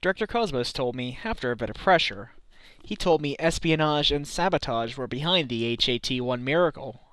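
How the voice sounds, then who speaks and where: A man's voice: medium pitch, expressive, brisk, fairly bright tone, dry recording, close to an everyday voice. A man voicing an earnest, determined defense lawyer in a game fandub, in a conversational manner. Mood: thoughtful. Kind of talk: scripted game fandub acting.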